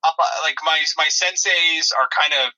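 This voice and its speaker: A man co-hosting a comedy podcast, improvising banter in a defensive, halting, aggrieved way. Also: on the high side, over a noisy crowd, poor audio.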